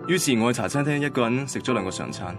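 An actor as a wistful young man, lively pitch. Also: racing, music in the background, natural voice. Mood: wistful, sad. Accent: Hong Kong Cantonese. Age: young adult. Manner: wistful, self-deprecating. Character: heartbroken young man recounting his first love. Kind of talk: radio drama narration and dialogue.

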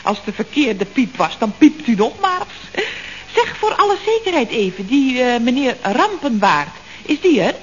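Brief: flustered gossipy secretary, lively and high, vintage radio comedy. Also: female voice, normal speed. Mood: chatty flustered. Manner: chatty, giggly, breathless gossiping. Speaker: office secretary at a building firm. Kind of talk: 1960s radio comedy acting. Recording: dull old radio recording, faint hiss.

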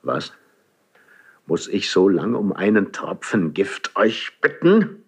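A man's voice: low voice, very animated, slow, slightly rough, exaggerated voice. A man acting a proud, suspicious, lonely king in a 1970s German radio play, with commanding classical declamation. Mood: cold, probing.

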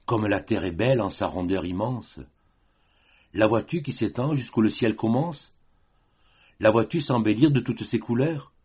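Man reciting as a solemn, husky, tender lover. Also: lowish voice, normal speed, a little mellow, pitched above the performer's own voice. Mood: awed, foreboding. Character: tender solemn male lover consoling his beloved. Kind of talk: poetic recitation.

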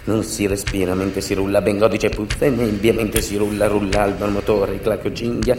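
A man delivering a dramatic theatrical monologue, intense and rhythmic, with repetitions and deliberate pauses. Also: fairly low pitch, flat delivery, a little mellow, slight background noise. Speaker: stage actor and narrator. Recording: roomy, echoing sound.

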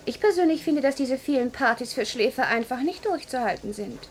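An actress playing a cheeky, flippant, fashionable secretary in a German science-fiction radio play. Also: female voice, high-pitched, lively intonation, fairly quick. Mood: cheeky, breezy. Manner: flippant, matter-of-fact. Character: breezy, cheeky, modish office secretary. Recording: vintage radio recording, faint noise.

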